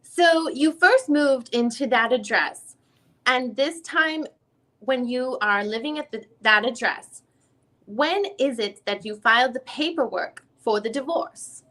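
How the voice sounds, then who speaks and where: A woman reading the part of a female lawyer who questions a witness in a deposition, in halting, rephrased questions. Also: sits high, lively intonation, sharp tone, exaggerated voice. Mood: probing. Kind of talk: dramatic reading of a legal deposition transcript.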